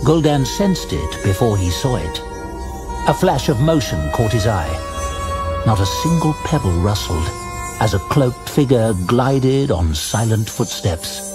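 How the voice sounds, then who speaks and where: A man narrating a fantasy audio drama in a measured, deliberate, suspenseful storytelling style. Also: medium pitch, very animated. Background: music.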